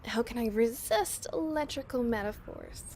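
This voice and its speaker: A woman's voice: on the high side, moderate pace, a little mellow, a little hiss or hum, lightly acted. A woman playing a frustrated young camp assistant in an audio drama, with a sardonic, sighing, conversational manner. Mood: wry. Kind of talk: naturalistic audio drama acting.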